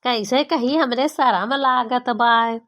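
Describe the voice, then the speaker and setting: A woman's voice: fairly high pitch, slow pace, a bit bright. A woman voicing a young village daughter-in-law with an Awadhi accent, complaining dramatically and colloquially in an amateur cartoon comedy. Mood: shy, hesitant. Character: exasperated, embarrassed young village daughter-in-law, gossipy and scolding.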